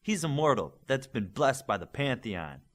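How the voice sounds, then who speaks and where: Cocky young hero performed by a male narrator, boastful, raised pitch, lively swings. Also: normal speed, lightly acted. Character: cocky, easily frustrated young male superhero student.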